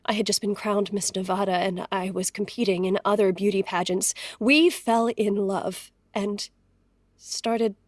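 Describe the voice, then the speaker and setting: An actress playing a timid, polite young former beauty queen, halting and deferential, in a period audio drama. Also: mid-range voice, fairly even intonation, no echo, studio quality, natural voice. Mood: matter-of-fact.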